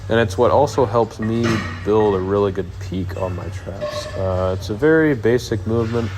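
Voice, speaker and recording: A man's voice: on the low side, moderate pace. A young man with a cold and a nasal voice, casually talking through his workout in a rambling voice-over full of ums. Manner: laid-back, rambling, many ums. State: stuffy nose from a cold. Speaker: fitness vlogger. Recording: voice-over over clear background music. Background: music playing underneath.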